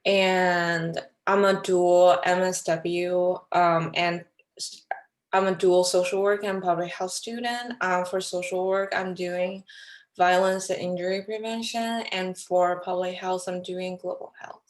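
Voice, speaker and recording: A woman's voice: on the low side, flat delivery, measured pace. A young woman from China, a graduate student on a panel, introducing herself hesitantly with many ums. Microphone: video call.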